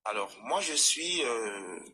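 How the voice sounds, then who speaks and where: A man from Congo-Brazzaville calling into a live stream, speaking formally and deliberately about politics. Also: mid-range voice, expressive, pushed and bright, husky. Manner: articulate, formal, deliberate phrasing. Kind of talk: measured political commentary.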